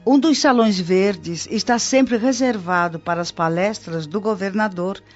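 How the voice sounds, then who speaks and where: A woman's voice: mid-range voice, unhurried, a little mellow, lo-fi sound, faint music behind, natural voice. A woman playing an observant, patient old nurse guide in a Brazilian radio drama, explaining in an instructive way with a Brazilian accent.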